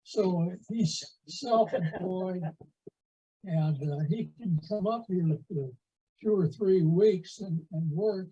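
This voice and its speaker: Husky, high-pitched man, very muffled. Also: takes it slowly, dark tone. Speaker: audience member commenting. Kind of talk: casual remark from the floor. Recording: dull, lo-fi meeting audio.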